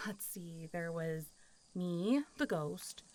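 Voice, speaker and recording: A woman's voice: fairly low pitch, drawn-out words. Playful, teasing zombie girl, acted by a woman. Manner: casual, playful, teasing, often laughing and sighing. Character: friendly, teasing centuries-old zombie girl. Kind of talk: intimate roleplay audio drama.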